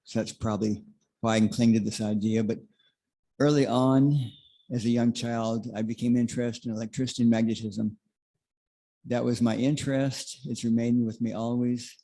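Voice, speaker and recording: A man offering an unscripted personal reflection in a measured, modest way. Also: medium pitch, level tone, creaky, mellow. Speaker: congregation member sharing.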